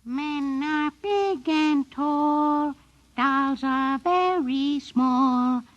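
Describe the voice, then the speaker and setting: A woman voices a tiny living doll from an old radio play. The little fellow is high-pitched and very slow, with an eerie, gleeful singsong. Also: highs cut off. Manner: singsong, mechanical repetition. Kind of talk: 1950s radio drama acting.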